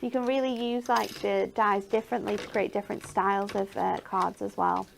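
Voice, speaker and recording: A woman with a gravelly, creaky edge presents craft products on a shopping channel. She sounds enthusiastic, with frequent ums and little restarts, at a medium pitch. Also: fairly even intonation, moderate pace, slight background noise.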